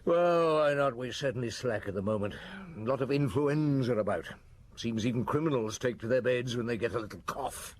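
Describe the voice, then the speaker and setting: An actor playing a weary middle-aged police inspector in a radio drama, with a dry, grumbling but patient manner. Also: male voice, mid-range voice, a little fast, close to an everyday voice. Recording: noisy old radio drama recording.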